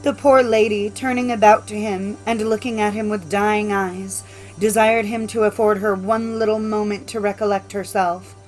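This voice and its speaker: Female narrator for classic fairy tales: medium pitch, formal scripted storytelling over music. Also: steady tone, normal speed, a little mellow, rough recording. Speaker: audiobook narrator.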